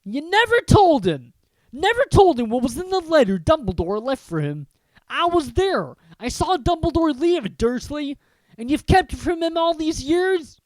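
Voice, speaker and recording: A reader voicing a huge, hot-tempered male giant from a storybook, who talks in a loud, gruff rural dialect. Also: high voice, big pitch swings, normal speed, no room sound, voice pushed up, low-quality recording. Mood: outraged. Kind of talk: audiobook character reading.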